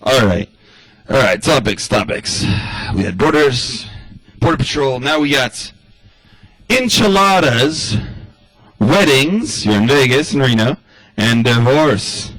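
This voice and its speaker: A man's voice: medium pitch, very animated, slow, pauses often, a bit bright, no echo, rough recording. A man doing a rambling stand-up comedy monologue in the style of a radio address, loose and chatty, full of asides.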